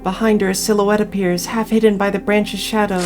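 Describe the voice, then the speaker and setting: A woman's voice: mid-range voice, flat delivery, brisk. A woman reading a visual novel aloud as a player, adding her own casual commentary. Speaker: game player and narrator. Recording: music behind voice. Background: game music.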